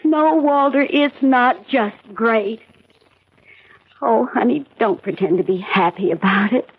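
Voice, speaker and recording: A woman's voice: on the high side, a little slow, breathy edge. An American woman playing a weary, bitter housewife in her forties in a 1970s radio drama. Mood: bitter, resigned. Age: 40s. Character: weary, bitter forty-one-year-old housewife and mother, pregnant. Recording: muffled vintage AM radio drama recording.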